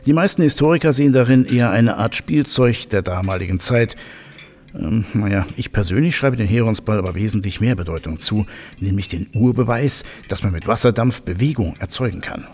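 A learned male professor played by an actor in an audio drama, speaking in a fairly low register. He lectures with keen fascination and repeats himself for emphasis, correcting like an expert. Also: brisk, darkish tone, a little hiss or hum, close to an everyday voice. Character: enthusiastic, learned male professor of old machines. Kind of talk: German audio drama dialogue.

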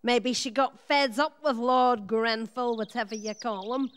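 A woman's voice: on the high side, fairly even intonation, unhurried, a bit bright, clean tone, dry recording, studio quality. A woman playing a fretful, chiding older wife in a radio play, anxious and exclamatory. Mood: dismissive, chiding.

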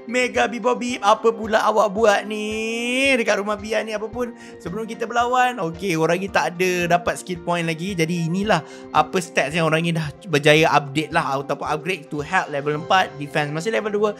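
A man with a Malaysian accent giving excited, animated gameplay commentary with roleplay, full of exclamations and drawn-out words. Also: high voice, soft background music. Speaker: gaming YouTuber.

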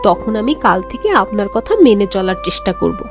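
A woman's voice: mid-range voice, brisk, over music, lightly acted. A woman voicing an outspoken but dutiful young daughter-in-law in a cartoon, with a firm manner. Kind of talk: cartoon voice acting.

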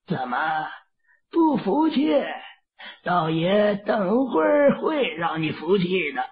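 A man voicing a lecherous, cruel middle-aged male Taoist villain who gloats with drawn-out, sneering menace in a read-aloud audio novel. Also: sits high, very animated, crowd noise, voice pushed up, full character voice. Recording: phone-like, lo-fi, distant sound.

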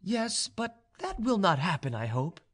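A haughty young noblewoman from a storybook romance, acted out by a male reader. The voice is lifted high and moves with lively pitch, deliberate and imperious in every phrase. It sounds proud, composed and used to being obeyed. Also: exaggerated voice. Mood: firm, commanding. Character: proud, haughty young woman, coolly commanding in love. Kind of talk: audiobook character dialogue.

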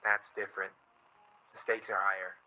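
An actor playing a sardonic but earnest young newspaper writer, conversational and exasperated, in a radio play. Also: male voice, low voice, flat delivery, normal speed, sharp tone, muffled recording, heavily acted. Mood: wry. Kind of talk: radio play acting, naturalistic dialogue.

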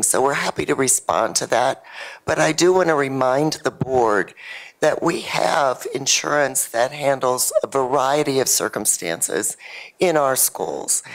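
A woman's voice: rumbling low, rough recording. A middle-aged woman, legal counsel to a school board, who has lost her voice and answers formally and cautiously into a handheld mic. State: losing her voice. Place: school board meeting room, seated at the dais. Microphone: handheld microphone.

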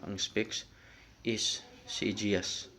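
A man with a fairly low voice talks in a casual, explanatory vlog style. He speaks informally and directly to his viewers, as if walking friends through a topic. There is faint ambient noise behind him. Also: little pitch movement, drawn-out words, pushed and bright. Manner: informal, explanatory, often addressing viewers as guys. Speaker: vlogger.

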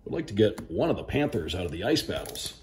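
Man with lively, animated unboxing commentary. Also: sits low, quick pace, noisy background. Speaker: radio sports play-by-play broadcaster. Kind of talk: casual unboxing commentary, reading out names.

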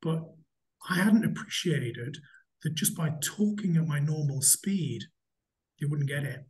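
A middle-aged man from Newcastle with a slight Geordie accent, a hotel chief executive giving a reflective interview answer over a video call from an office, speaking in a deliberately slowed, measured way with frequent restarts. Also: a little high, expressive. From Newcastle, England. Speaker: hotel company chief executive, podcast guest. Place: office indoors. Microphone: video call webcam mic.

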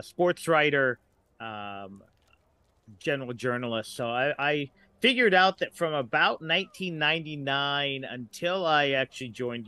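An American man in his late forties talks casually as a podcast guest. His voice is bright and punchy at an ordinary male pitch. He rambles along like someone who enjoys telling stories. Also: clear, resonant tone. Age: late 40s. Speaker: guest, writer.

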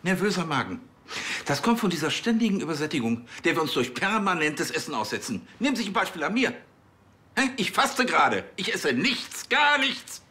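An actor playing a pompous, bossy police chief with a lecturing manner. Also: male voice, a little high, big pitch swings, fairly quick, sharp tone.

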